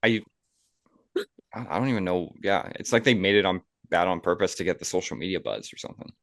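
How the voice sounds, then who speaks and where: A man's voice: sits low, brisk, gravelly, fairly bright tone, no echo, studio quality. A man co-hosting a podcast in casual, opinionated conversation. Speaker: podcast co-host.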